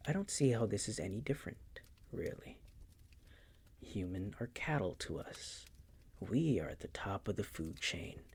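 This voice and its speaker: A man playing a possessive, condescending vampire master in an intimate roleplay audio, teasing in a breathy, gravelly, often whispered voice. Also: lowish voice, sing-song, heavily acted. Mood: coolly disdainful. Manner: slow, teasing, condescending purr.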